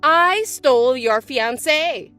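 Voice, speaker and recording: A woman voicing a bratty, spiteful young stepsister who talks in a shrill, mocking, bossy way. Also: lively intonation, slow, pushed and bright, faint music behind, full character voice. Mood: gloating. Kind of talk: voiced text-message drama.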